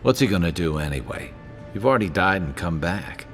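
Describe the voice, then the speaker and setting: A man playing a gruff, wry and loyal travelling companion in a fantasy video game, talking in a conversational, dryly humorous way. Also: deep voice, lively intonation, brisk, fairly bright tone, soft background music. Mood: wry, protective.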